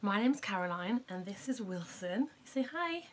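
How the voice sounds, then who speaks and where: A young woman reading a picture book aloud to her toddler in a child's bedroom, animated and coaxing, with quiet asides. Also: mid-range voice, normal speed, slight background noise. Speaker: parent reading a bedtime story.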